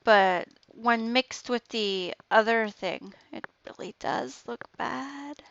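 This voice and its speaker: A woman's voice: on the high side, slow pace, gravelly, a bit bright, muffled recording. A woman with an Ontario Canadian accent chatting casually while streaming a game. She laughs often and trails off mid-sentence.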